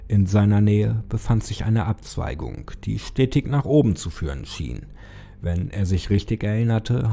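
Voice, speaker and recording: A man's voice: on the low side, gravelly, mellow, a little hiss or hum. A man narrating a scripted horror story in a dramatic audiobook style. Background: background music.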